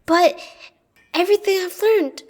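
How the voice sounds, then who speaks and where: Woman voicing a very high-voiced, anxious royal adviser. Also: measured pace, darkish tone. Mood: anxious, pleading. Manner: cautious, pleading. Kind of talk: fantasy audio roleplay acting.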